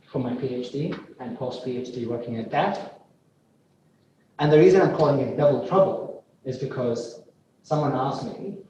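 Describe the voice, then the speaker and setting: A man's voice: mid-range voice, warm tone. Guy giving a research seminar, eager, keeps repeating words, big echoey room, faint hiss. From Iraq. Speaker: plant pathology researcher. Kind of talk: academic seminar talk. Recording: echoey room, distant mic, faint noise.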